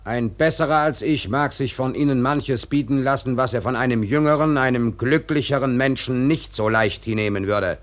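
An actor in a radio drama playing a dutiful first mate, formal, measured and respectful but stubbornly insistent. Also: male voice, mid-range voice, lightly acted. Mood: restrained, pleading. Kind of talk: literary radio drama acting.

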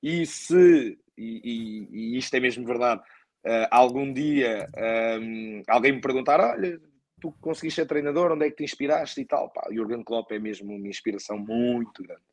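A man with a European Portuguese accent talks as a podcast guest in a dry, echo-free recording. His mid-pitched voice moves through reflective, halting answers, and he repeats words to stress a point. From born in Angola, moved to Portugal very young. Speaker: guest, football coach. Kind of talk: conversational interview answers.

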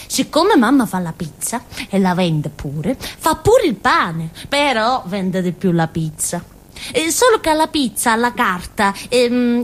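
An actress playing a naive, childlike village simpleton girl with a Calabrian accent, in a halting, repetitive theatre monologue. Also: mid-range voice. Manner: simple, repetitive, halting, with questioning tags and giggles. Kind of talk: theatrical monologue in colloquial dialect-tinged Italian.

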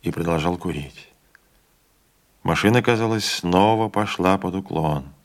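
A man reading a classic novella as an audiobook narrator, measured and dramatic. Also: sits low. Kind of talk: literary narration.

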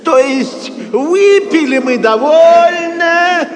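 An actor playing a fussy, jovial and evasive medieval prior in a Russian audio play, with theatrical singsong delivery. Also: male voice, very high voice, expressive, very slow, crowd noise, heavily acted. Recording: long hall reverb.

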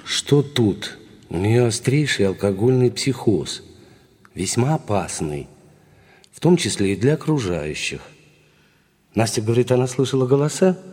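Young stern doctor in a radio play, warning hard, medium pitch, long echo on it. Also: male voice, mellow, husky. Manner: emphatic, warning. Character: stern, alarmed young male medical specialist. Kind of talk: radio drama acting.

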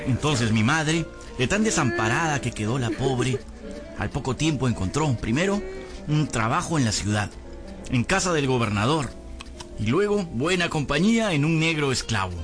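A man's voice: mid-range voice, expressive, moderate pace, music in the background, lightly acted. An actor playing a grown picaresque rogue who tells his life story, theatrical and wry, in a radio drama. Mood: wry storytelling. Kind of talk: dramatised first-person radio narration.

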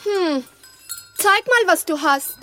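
A woman's voice: extremely high pitch, animated, takes it slowly, over loud music, full character voice. An actress playing a haughty, capricious, mysterious young lady in a radio play, with a languid, theatrical, imperious manner. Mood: wary, aloof.

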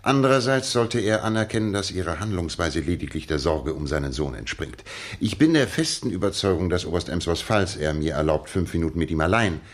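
An actor playing a calm, authoritative gentleman detective, speaking in a measured, precise, courteous way with a husky voice in a 1960s German radio play. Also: deep voice. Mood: measured, firm.

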